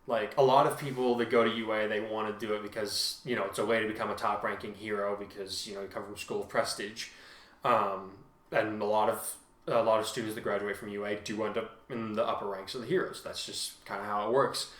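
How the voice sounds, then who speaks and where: A man's voice: lowish voice, fairly even intonation, a bit bright. Man chatting casually in a roomy space. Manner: halting, informal, thinking aloud. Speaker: podcast host and fan fiction writer. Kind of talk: casual chat.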